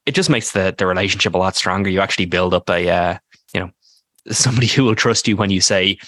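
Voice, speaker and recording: A man hosting a podcast interview, chatting quickly and often saying "like" as he restarts his sentences. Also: sits low, fairly bright tone, no echo. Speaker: podcast host. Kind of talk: casual interview talk.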